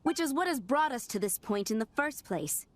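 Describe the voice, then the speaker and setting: A woman's voice: sits high, big pitch swings, normal speed. A woman playing a haughty, pushy diplomatic envoy in a video game, speaking in a formal, condescending manner. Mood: cool, pressing. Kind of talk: anime-style video game acting.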